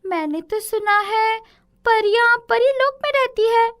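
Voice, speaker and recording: Casting: innocent village girl, very high voice, earnest, eager, inquisitive storybook character. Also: measured pace, fairly bright tone. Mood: curious.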